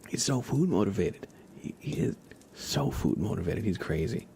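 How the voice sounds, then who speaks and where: A man chatting softly as an ASMR livestream host, in a gentle hushed voice that often drops into whispers. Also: lowish voice, sing-song, moderate pace, vocal fry, a little hiss or hum. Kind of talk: soft-spoken ASMR livestream chat.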